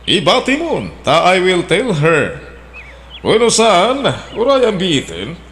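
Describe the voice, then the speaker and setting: A man's voice: high-pitched, huge pitch swings, slow pace, fairly bright tone, heavily acted. An actor playing a forward, blunt man back from abroad who mixes English into his speech. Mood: brash. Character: returning male emigrant suitor, blunt and forward. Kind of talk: radio drama acting.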